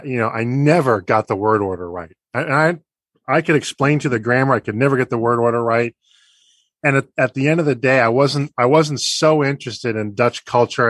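A male interviewer asking thoughtful, halting questions and sharing his own story in a conversational interview. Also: medium pitch, fairly bright tone, no room sound. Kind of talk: podcast interview.

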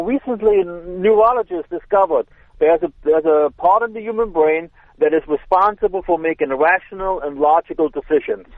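A man's voice: on the high side, dry recording. German-accented man on a tinny phone line. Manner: relaxed explaining, hesitant restarts. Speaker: self-defense instructor guest. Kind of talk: casual chat.

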